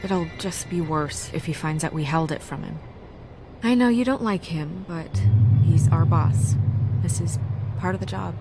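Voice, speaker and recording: A practical, dutiful town official in a dark fairy-tale game. Her voice sits on the low side, measured and firm, with loud music underneath. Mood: serious, measured. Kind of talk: naturalistic video game cutscene acting.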